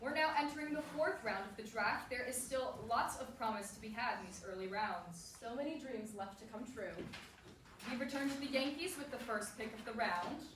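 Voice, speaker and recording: A woman playing a polished, brisk sports broadcaster announcing news in a staged play reading. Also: on the high side, lightly acted. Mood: formal, announcing. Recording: roomy, distant, faint noise.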